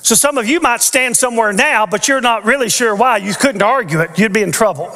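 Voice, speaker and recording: A man's voice: sits high, fairly quick, bright, forceful tone. An older man preaching a teaching sermon from a church stage on a headset mic, earnest with playful asides. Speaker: pastor. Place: large church stage with audience. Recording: long reverb of a large church hall.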